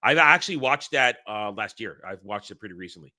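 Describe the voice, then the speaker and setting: A man's voice: fairly low pitch, expressive, a little fast, bright, forceful tone, no echo. A man co-hosting a casual movie review show, talking in a loose, rambling way with frequent restarts and fillers. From Northeastern United States.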